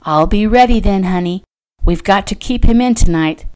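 A woman's voice: medium pitch, moderate pace, darkish tone, clear, resonant tone, voice pushed up, natural voice. Woman voicing a loyal, urgent female confidante. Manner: whispered, urgent. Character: loyal, conspiring female friend, warmly resolute.

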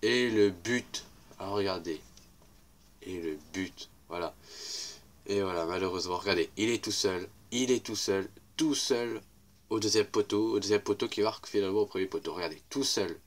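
A young man with a head cold talks over football clips in a deep, congested voice. He rambles casually, repeating himself as he points out each play. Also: normal speed. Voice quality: congested, little voice. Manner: rambling, repeats "regardez" and "voilà". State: has a cold, weak voice. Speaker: YouTuber commenting match highlights. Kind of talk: casual football highlight commentary.

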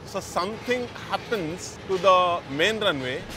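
A man's voice: high voice, lively intonation, a little slow, noisy background. A middle-aged man with an Indian accent, explaining operations as an interviewee outdoors. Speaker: head of airport operations, interviewee. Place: outdoors on an airfield. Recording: music bed, outdoor vehicle sounds.

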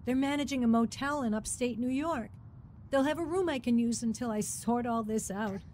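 A woman's voice: fairly high pitch, normal speed, darkish tone, a little hiss or hum, lightly acted. An actress narrating and playing a troubled young mother in a radio drama, close to tears and emotional. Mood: upset. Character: troubled young mother leaving her husband. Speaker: narrator and lead character.

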